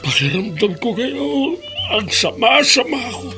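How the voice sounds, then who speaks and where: Slow, plaintive old grandfather. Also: very high-pitched, sing-song, pushed and bright, soft background music, heavily acted. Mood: guilty, tearful. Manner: halting, plaintive. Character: sorrowful, guilt-ridden frail old grandfather. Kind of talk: radio drama acting.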